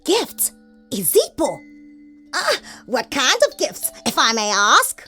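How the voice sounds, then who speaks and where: Excitable, high-pitched cheeky bunny. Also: female voice, slow pace, faint music behind, full character voice. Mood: curious, cheeky. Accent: South African. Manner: exclaiming, theatrical, playful. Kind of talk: children's musical comedy audio theatre.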